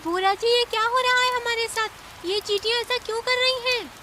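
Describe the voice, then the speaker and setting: A woman's voice: very high-pitched, steady tone, a little fast, sharp tone, slight background noise. A woman voicing emphatic, dramatic female animal characters in a Hindi cartoon, a stern ant queen among them. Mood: distressed, scolding.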